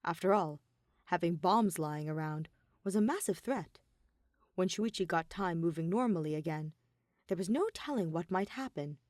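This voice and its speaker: A woman reading dramatic fan fiction narration in a deliberate way, pausing between phrases. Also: on the low side, a little mellow, no echo, clean studio sound. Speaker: podfic reader.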